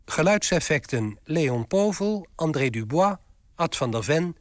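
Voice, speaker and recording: A man's voice: fairly high pitch, sing-song, measured pace, no echo, studio quality. A man announcing the credits of a radio drama in a scripted, orderly reading.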